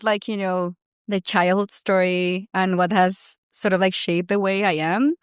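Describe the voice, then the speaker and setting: A woman's voice: mid-range voice, clear, resonant tone, no echo, studio quality. A woman in her thirties with a Colombian accent, a doctor and founder chatting as a podcast guest, filling her talk with "you know" and "like". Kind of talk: casual interview conversation.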